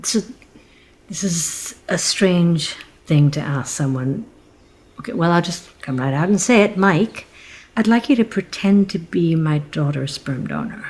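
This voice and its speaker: An actress playing a middle-aged American single mother in an audio comedy, flustered, awkward and polite, with a halting, hesitant way of talking. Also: fairly low pitch, lively intonation, darkish tone. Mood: awkward, earnest. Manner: halting, hesitant, self-correcting. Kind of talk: naturalistic comedic audio play acting.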